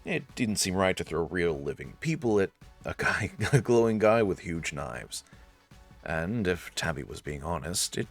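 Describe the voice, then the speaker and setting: A man's voice: sits low, very animated, measured pace. A man narrating a visual novel in a storytelling style. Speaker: narrator and let's-play reader. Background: faint music.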